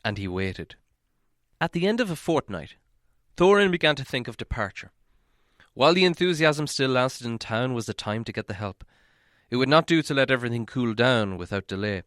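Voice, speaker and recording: A male audiobook reader narrates a storybook expressively. His voice sits at a medium pitch, and the sound is dry with little room around it. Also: animated, moderate pace, a bit bright, no room sound.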